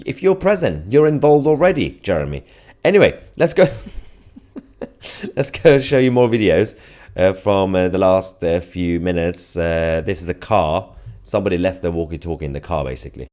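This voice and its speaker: A man's voice: low voice, animated, moderate pace, a little mellow. A man hosting a live news commentary show, speaking to viewers in a sardonic, mocking and emphatic way. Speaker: host of online political news show.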